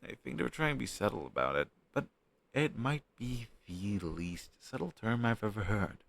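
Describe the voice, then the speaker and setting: A man's voice: on the low side, lively intonation, creaky. A man playing a flustered young man shyly hiding a crush on his best friend, speaking hesitantly and sheepishly in an intimate audio roleplay. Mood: embarrassed, exasperated. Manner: hesitant, sheepish, halting conversational delivery. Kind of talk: intimate one-sided conversational audio roleplay.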